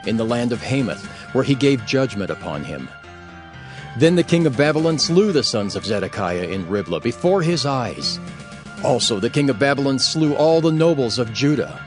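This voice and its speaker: A man narrating scripture from a script in a solemn, measured and formal manner. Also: mid-range voice.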